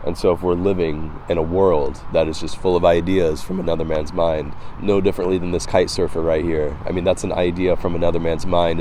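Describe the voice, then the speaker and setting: Deep, slightly hoarse man musing outdoors. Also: dark tone, slight background noise. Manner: halting, reflective, repeating words. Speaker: vlogger. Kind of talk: casual philosophical monologue to camera. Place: outdoors by the water.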